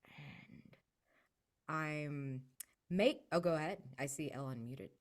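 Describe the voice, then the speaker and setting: A woman's voice: sits low, slow. A woman brainstorming casually as a player in a tabletop roleplaying game, informal and enthusiastic.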